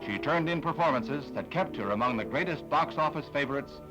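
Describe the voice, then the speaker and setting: A man's voice: on the high side, expressive, brisk, rough recording. A man narrating a 1960s newsreel in a solemn, formal announcer manner. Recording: vintage newsreel soundtrack with music. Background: background music.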